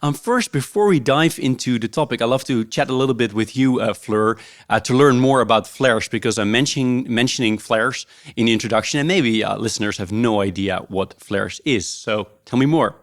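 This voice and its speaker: A man's voice: medium pitch, normal speed, hardly any pauses. Hesitant podcast host, man. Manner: warm, hesitant, many ums. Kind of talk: casual interview.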